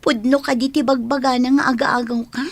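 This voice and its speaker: A woman's voice: high-pitched, steady tone, brisk, no room sound. An actress playing a concerned, probing woman friend in a radio drama, easily shocked, with a Filipino accent.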